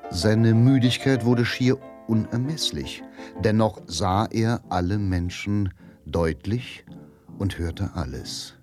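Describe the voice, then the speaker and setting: A man narrating a radio drama in a measured, literary and dramatic manner. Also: lowish voice. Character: grave literary narrator of a crime story. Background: music.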